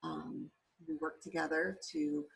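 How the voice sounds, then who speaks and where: Slow-paced woman reading a children's storybook. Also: low-pitched, very animated, creaky, poor audio. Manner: deliberate, spells words out letter by letter. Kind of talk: children's storybook read-aloud.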